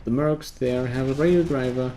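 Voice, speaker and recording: A man's voice: medium pitch, fairly even intonation, moderate pace, warm tone, slight background noise. A man narrating a scripted video game review in a dry, sardonic manner.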